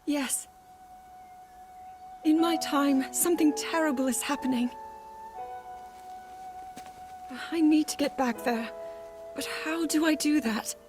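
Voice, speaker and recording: A woman's voice: sits high, a little slow, pauses often. A woman voicing an earnest, anxious and hesitant young princess in a video game cutscene. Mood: worried. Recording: music behind the voice.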